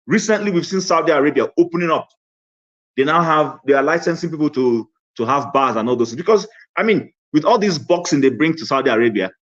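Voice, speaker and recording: Male caller, conversational, rhetorical questions, slightly high voice, muffled lo-fi line. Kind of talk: call-in political debate. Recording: dull lo-fi call audio.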